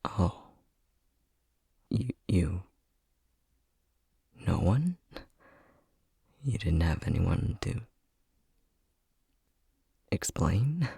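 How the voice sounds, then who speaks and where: A man playing a flustered, tender young boyfriend in an ASMR roleplay, soft-spoken and breathy, hesitating and stammering as he talks. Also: sits low, big pitch swings, drawn-out words, pauses often, no echo, studio quality, full character voice. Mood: tentative, surprised. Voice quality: breathy, husky. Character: flustered, tender young boyfriend newly discovering magic powers.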